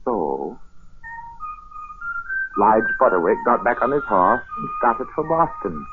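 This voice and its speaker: A man's voice: mid-range voice, wildly swooping pitch, takes it slowly. A man narrating a 1940s radio drama as a folksy, dramatic storyteller. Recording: muffled vintage radio broadcast with music.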